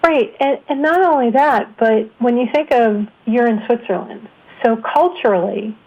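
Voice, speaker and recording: A woman's voice: fairly high pitch, moderate pace. A woman with an American accent hosting a conversational interview. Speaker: interview show host. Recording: thin phone-line sound.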